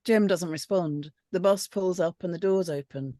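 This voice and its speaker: A woman narrates stage directions from a script. Her pitch is a little low for a woman. The recording is very dry, with hardly any room sound. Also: normal speed, dark tone, dry recording. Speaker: script panel reader reading stage directions.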